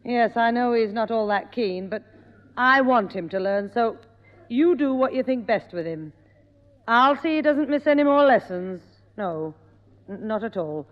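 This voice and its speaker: A woman's voice: on the high side, lively intonation, a little slow. An actress playing a weary but firm mother. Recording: dull echoey old radio recording.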